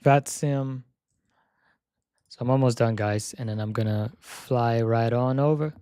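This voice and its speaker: A young man live-streaming a flight sim session, chatting casually and thinking aloud as he sets up, his voice slightly hoarse. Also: medium pitch, measured pace, mellow, no room sound. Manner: repeats "yep" while checking items.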